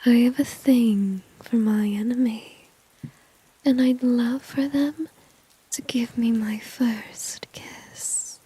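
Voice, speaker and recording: A woman acting a confident, flirty, dominant villain in an ASMR roleplay, whispering softly and teasingly with smug self-assurance. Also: a little high, steady tone, full character voice, rough recording. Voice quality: breathy, soft whisper. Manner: slow, teasing, smug, coaxing.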